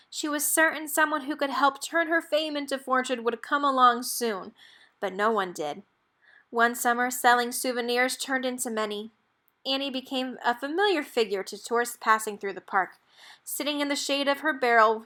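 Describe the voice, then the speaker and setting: A woman reading a children's picture book aloud in an expressive, measured storytelling manner. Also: high-pitched. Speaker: storybook reader.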